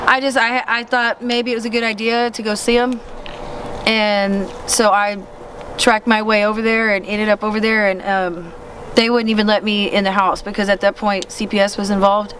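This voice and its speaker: A woman in her forties from Indiana telling her personal story in an interview, halting as she searches for words. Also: mid-range voice, little pitch movement. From Indiana, then Texas. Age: 40s. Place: outdoor camp in the woods. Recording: loud outdoor traffic noise.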